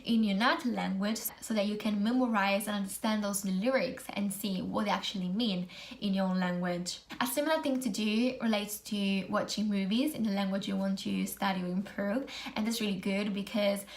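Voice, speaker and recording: A woman's voice: mid-range voice, fairly even intonation, moderate pace. A young Italian woman whose first language is Italian, giving casual advice in English to camera with an Italian accent, chatty and full of "like" and "basically". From Italy. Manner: chatty, lots of "like" and "basically", non-native phrasing. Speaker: vlogger.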